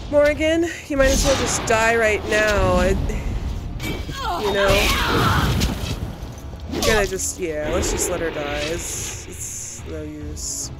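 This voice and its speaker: Unhurried woman gamer over loud music. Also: medium pitch. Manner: thinking aloud, muttering to herself. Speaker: gaming video host. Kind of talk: casual let's play commentary. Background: loud game music and combat sounds.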